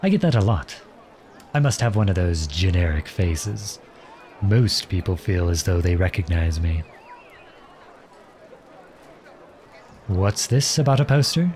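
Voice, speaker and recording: A man playing an earnest, protective young outlawed prince in an audio roleplay, with a gentle, unhurried, folksy manner. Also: low voice, expressive, some chatter behind, slight background noise. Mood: polite, evasive. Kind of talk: ASMR roleplay acting.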